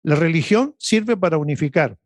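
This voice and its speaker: A man on a radio panel, explaining history and faith in a measured, professorial way. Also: a little high, expressive, no room sound, studio quality. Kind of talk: lecturing faith discussion.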